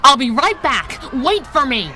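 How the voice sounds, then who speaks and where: A woman's voice: high voice, big pitch swings, a little slow, noisy background. A woman playing a feisty, wisecracking masked heroine in a 1930s-style radio adventure, sassy and punchy with old slang. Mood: bold, loyal.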